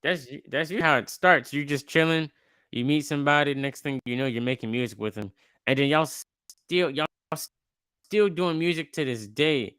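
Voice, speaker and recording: A young man in his twenties hosts a podcast, talking in a loose, slangy, rambling way. His voice comes through smooth and clear. The sound is dry, with no room echo. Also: on the high side, normal speed, fairly bright tone. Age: 20s. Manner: laid-back, slangy, rambling with restarts. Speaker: podcast host. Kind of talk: casual interview chat.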